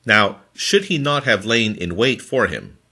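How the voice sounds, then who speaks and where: Deep-ish guy reading the bible phrase by phrase, super expressive pitch, forceful delivery. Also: unhurried. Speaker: scripture reader. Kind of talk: scripted Bible reading in formal translation.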